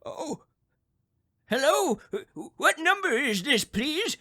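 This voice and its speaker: A man's voice: very high voice, sing-song, slow, sharp tone, heavily acted. A man playing a crotchety, nervous old man in a radio drama, stammering and agitated as he talks. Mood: anxious, confused. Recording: eerie music behind the voice.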